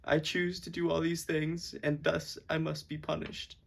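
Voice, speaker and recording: A man's voice: a little high, flat delivery, measured pace, vocal fry, breathy edge, exaggerated voice. A man playing an anguished, self-loathing king, lamenting in declamatory amateur puppet-show style. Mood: despairing proclamation.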